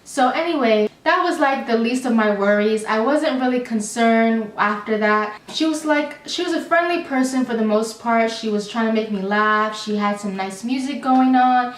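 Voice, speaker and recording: A woman's voice: fairly high pitch, moderate pace, hardly any pauses. Chatty young woman, echoey room. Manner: chatty, animated, rambling with many fillers. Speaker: vlogger. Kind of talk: storytime vlog. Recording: roomy, slightly distant mic.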